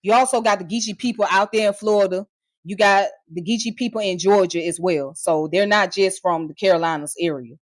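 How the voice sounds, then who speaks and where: Woman streamer, mid-range pitch, heated mocking tirade, dry close recording. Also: fairly quick, dry recording. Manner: heated, profane and mocking, with scornful laughs; confrontational, addressing viewers directly. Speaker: online streamer. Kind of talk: ranting live commentary.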